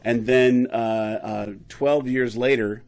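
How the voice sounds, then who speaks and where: Enthusiastic, halting middle-aged man. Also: medium pitch, steady tone, vocal fry, darkish tone. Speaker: guest audio drama creator. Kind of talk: casual interview chat.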